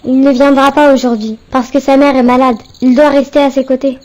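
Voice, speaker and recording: A woman's voice: high voice, little pitch movement, fairly quick, darkish tone, lightly acted, rough recording. A woman voicing a friendly, courteous, grateful young boy in an educational cartoon. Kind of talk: didactic cartoon dialogue.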